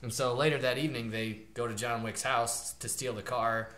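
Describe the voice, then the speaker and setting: A man chats loosely with plenty of "like" and "you know", building up a plot retelling. His voice is bright and forward. The room around him rings with noticeable echo. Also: mid-range voice, normal speed. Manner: loose and rambling, lots of fillers, animated retelling. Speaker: podcast co-host. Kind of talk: casual chat. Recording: roomy echo.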